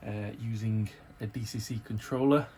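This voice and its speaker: A slightly croaky man chatting casually as he shows off and tests a model locomotive, repeating his praise and filling pauses with ums. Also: fairly low pitch, steady tone, dark tone, a little hiss or hum. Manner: chatty, repeats praise words, many fillers. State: croaky from hay fever and little sleep. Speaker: model railway hobbyist reviewer. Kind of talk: casual unboxing and test-run commentary.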